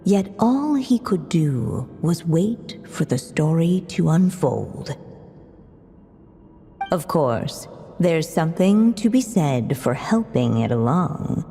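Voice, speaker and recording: A woman playing a measured, wry storybook narrator in a video game, speaking in deliberate literary phrases. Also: sits low, big pitch swings, dark tone, soft background music, full character voice. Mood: measured, mysterious. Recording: long reverb around the voice.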